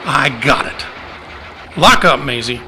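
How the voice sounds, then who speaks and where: A man's voice: mid-range voice, lively intonation, measured pace, sharp tone, a little hiss or hum. A man playing a harried, pushy cattle-drive cowboy with folksy Old West speech in an amateur radio play. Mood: urgent, commanding.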